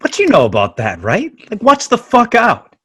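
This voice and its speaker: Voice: mid-range voice, wildly swooping pitch, normal speed, pushed and bright, husky, no room sound, full character voice. An actor playing a bitter, washed-up fairy, cursing and grumbling in streetwise slang in a theatrical reading. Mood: defensive. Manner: profane, streetwise, grumbling, slangy American speech. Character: foul-mouthed, bitter, washed-up magical fairy living on pity. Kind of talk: theatrical monologue in a staged reading. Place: indoors on a video call. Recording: close, dry video call audio.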